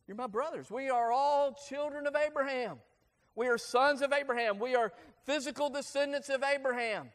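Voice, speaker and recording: A man's voice: very high voice, normal speed, a bit bright, voice pushed up. A man playing an ancient apostle who earnestly pleads with a crowd, repeating himself insistently. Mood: pleading, earnest. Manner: impassioned, insistent repetition. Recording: long hall reverb.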